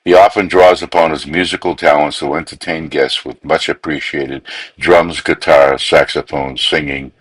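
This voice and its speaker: A man reading a scripted narration in a formal, even way. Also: rumbling low, normal speed, slightly rough, no echo, poor audio.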